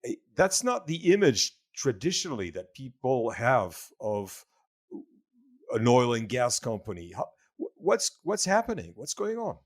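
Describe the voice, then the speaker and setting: A man hosting a podcast, reading a scripted introduction and asking questions in a formal, deliberate way. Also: mid-range voice, sing-song. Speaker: podcast host.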